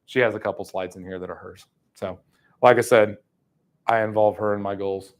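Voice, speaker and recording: A man's voice: on the low side, steady tone, normal speed. A man in his thirties giving a live sales training talk in a room, casual and chatty with many fillers.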